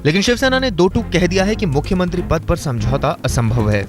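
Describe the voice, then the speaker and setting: A man's voice: on the high side, lively intonation. Male TV reporter over news music. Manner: measured, rhetorical delivery. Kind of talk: dramatic TV news narration. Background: music bed.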